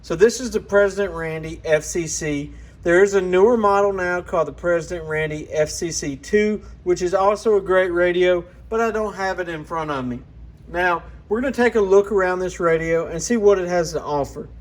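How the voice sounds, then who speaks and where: A man's voice: high-pitched, normal speed. A man hosting a casual hands-on product walkaround, talking in a plainspoken, informal, explanatory way. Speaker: product reviewer and channel host.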